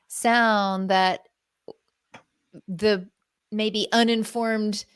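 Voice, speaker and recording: A woman co-hosting a casual podcast, with a smooth, clear, mid-pitched voice. She speaks thoughtfully and often chimes in with agreement. The sound is dry and close. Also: steady tone, extremely slow, no room sound. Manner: thoughtful, supportive, frequent agreeing yeahs. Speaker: podcast co-host. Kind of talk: casual conversational chat.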